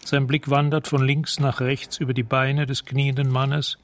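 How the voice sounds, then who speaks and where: A man's voice: medium pitch, flat delivery, unhurried. A man narrating a radio drama in a detached, precise, scene-setting way.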